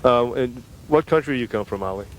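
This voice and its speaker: A man's voice: medium pitch, fairly quick, rough recording. A man doing an irreverent, slangy street interview for radio, needling with his questions on a field microphone. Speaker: roving radio reporter. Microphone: handheld field microphone. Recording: faint street noise, outdoor field microphone.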